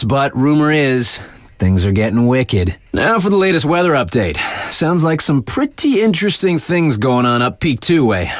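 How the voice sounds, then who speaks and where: A man playing a laid-back, thrill-loving snowboard radio DJ in a video game, reading casual mountain weather updates with slang and playful dramatic pauses. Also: medium pitch, dry recording, studio quality, natural voice. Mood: wry, hyped.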